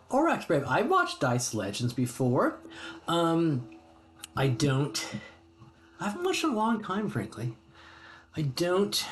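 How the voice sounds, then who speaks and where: A man's voice: medium pitch, a little hiss or hum. Rambling American streamer guy. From United States. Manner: rambling and friendly, many ums and restarts. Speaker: streamer host. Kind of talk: casual chat with live chat viewers. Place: home hobby room.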